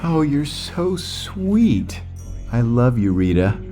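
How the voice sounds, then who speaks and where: A man acting as a dotty old widower dad, sweet and sheepish. He speaks slowly and haltingly in a mellow voice that swings gently up and down in this comedy drama. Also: mid-range voice, sing-song, faint music behind. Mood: doting. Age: older. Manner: doddering, halting, slow. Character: dignified but dotty elderly widowed father, sweet and sheepish.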